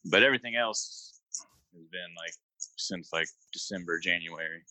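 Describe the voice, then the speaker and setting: A man's voice: low-pitched, moderate pace, bright, forceful tone, dry recording, low-quality recording. A young man in casual chat, talking in a laid-back, rambling way with lots of fillers.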